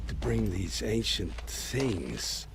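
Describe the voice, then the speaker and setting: A man's voice: fairly low pitch, very animated, slow pace, vocal fry, background noise, full character voice. An actor playing a fearful male tribesman who speaks in a horrified, pleading way.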